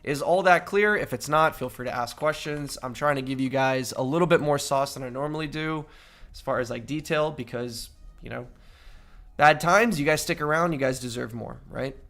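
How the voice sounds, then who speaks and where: Male streamer host with a gravelly, mid-pitched voice, slightly nasal from a cold, walking viewers through chart analysis. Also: moderate pace, a bit bright, faint music behind. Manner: explanatory, often adds "right?". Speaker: crypto trading livestream host. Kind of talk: casual chart analysis with chat.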